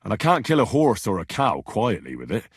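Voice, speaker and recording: An actor playing a blunt, plain-spoken young medieval adventurer in a video game, talking in an earthy, sweary, conversational way. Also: male voice, on the low side, huge pitch swings, brisk, slightly rough, dry recording, clean studio sound, heavily acted. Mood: earnest, matter-of-fact. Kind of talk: video game dialogue acting.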